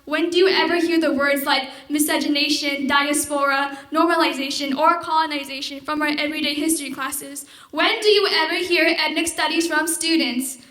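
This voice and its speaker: A teenage high school senior giving an earnest, formal prepared speech at a podium mic on a theater stage. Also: female voice, fairly even intonation, normal speed, hardly any pauses, bright, forceful tone. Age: teens. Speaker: student speaker. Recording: roomy theater sound.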